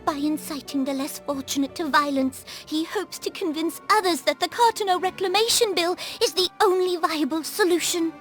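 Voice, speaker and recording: An actress playing a solemn, regal young female ruler in a fantasy video game, with formal, archaic phrasing. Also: extremely high pitch. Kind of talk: scripted video game drama.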